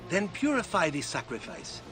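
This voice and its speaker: An actor playing a pious, reproachful sacred guardian vision in a video game, solemn and accusing. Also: male voice, high voice, very animated, moderate pace, music in the background.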